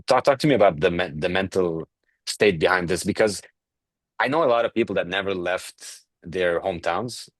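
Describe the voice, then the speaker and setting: A man's voice: sits low, normal speed, no room sound. A man hosting an interview, asking questions in a curious, casual and conversational manner. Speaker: interview host.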